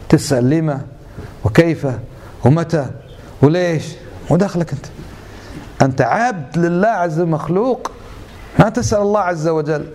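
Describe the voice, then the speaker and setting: A man's voice: fairly high pitch, darkish tone. Man teaching a religious lesson. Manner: rhetorical questions, mixes dialect with formal Arabic. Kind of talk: religious lesson to a gathered audience.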